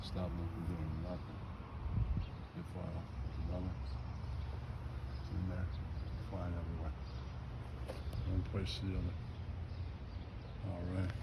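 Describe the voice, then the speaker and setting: A man's voice: low-pitched, completely flat delivery, a little slow, long pauses, very noisy. A man giving rambling, casual vlog narration outdoors in murmured, fragmentary musings. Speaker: amateur video maker.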